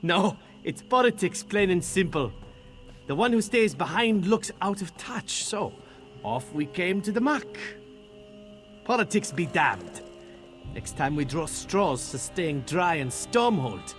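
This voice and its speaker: An actor playing a gruff, sardonic military commander in a fantasy video game, speaking bluntly with folksy humor. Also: male voice, sits high, very animated, unhurried, a bit bright, full character voice. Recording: game dialogue over prominent music.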